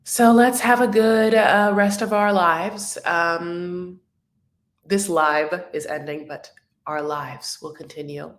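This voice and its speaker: A woman chats to her viewers on a live stream from home, drifting between thoughts with plenty of fillers. Her voice sits a little low and she speaks slowly. The room around her is noticeably echoey. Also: fairly even intonation. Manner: rambling, chatty, many fillers and restarts. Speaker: reality TV recap livestream host. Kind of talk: casual live-stream chat. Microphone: webcam or phone on a live stream.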